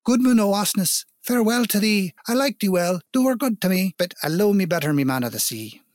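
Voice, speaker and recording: A sorrowful young seal-woman of folklore with a Scottish lilt, as a male storyteller plays her. The voice is raised high and pleads plaintively, imploring and repeating words, in a very dry recording. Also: animated, brisk, dry recording. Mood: bittersweet farewell.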